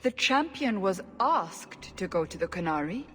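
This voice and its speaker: Suspicious woman inquisitor, mid pitch, sceptical, stern, terse, game dialogue, reverberant hall. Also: big pitch swings, measured pace, slight background noise. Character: stern, suspicious female interrogator. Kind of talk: video game voice acting.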